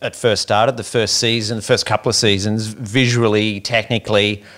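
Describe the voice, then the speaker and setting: A middle-aged man hosting an interview podcast, talking in a loose, halting way full of restarts and warm praise for his guest. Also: fairly low pitch, level tone. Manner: rambling, many fillers and restarts, admiring. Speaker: podcast host. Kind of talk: casual interview chat.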